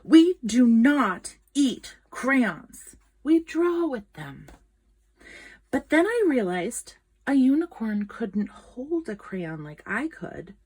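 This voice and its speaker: A woman reading a children's picture book aloud in an expressive, dramatic storytelling manner. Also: a little high, slow pace, breathy edge. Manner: expressive, dramatic, with gasps and whispered asides.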